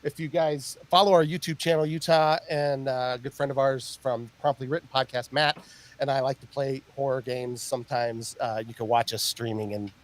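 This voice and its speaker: A man's voice: mid-range voice, fairly even intonation, moderate pace. A middle-aged man hosting a podcast in casual chat, rambling with many fillers and restarts and laughing often. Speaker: podcast host.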